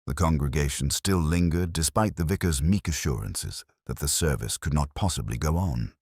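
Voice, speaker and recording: A man narrating a literary mystery in a measured, dramatic storytelling manner. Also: very deep voice, lively intonation, darkish tone, husky, dry recording, clean studio sound.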